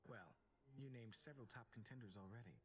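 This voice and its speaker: An actor playing a reserved, solemn god of doom who speaks in a measured, dry way in a video game. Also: male voice, mid-range voice, soft background music, close to an everyday voice.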